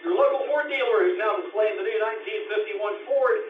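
Vintage radio sign-off announcer male actor, formal sponsor read, high voice, muffled and far from the mic. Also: lively intonation, a little fast, slight background noise. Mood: formal, promotional. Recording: echoey, distant, dull stage recording over music.

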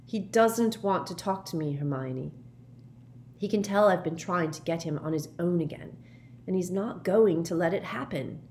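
A woman's voice: on the low side, moderate pace, a little mellow, slight background noise, lightly acted. A woman voicing an earnest, reasonable teenage boy in an audiobook, in a matter-of-fact manner. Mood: cross, frustrated.

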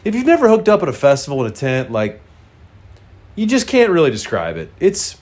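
A man's voice: fairly high pitch, wildly swooping pitch, brisk, darkish tone. Man, nasal congested voice, casual storytelling, rambling, frank, lots of fillers.

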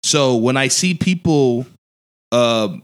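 A man from Nigeria in casual podcast chat, animated and profane, laughing often. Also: slow, clear, resonant tone. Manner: animated, swearing, laughing often, jumping pitch.

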